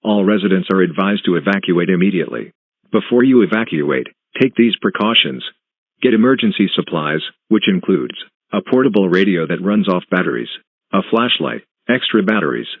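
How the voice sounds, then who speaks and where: A man reading a scripted emergency alert announcement in a formal, impersonal, measured manner. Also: low-pitched, no echo. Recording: tinny phone-like alert broadcast audio.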